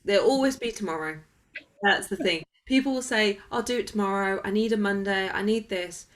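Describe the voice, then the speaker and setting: A woman's voice: mid-range voice, brisk, slight background noise. A woman being interviewed as a wellness coach, talking conversationally in an emphatic, motivational way with everyday examples.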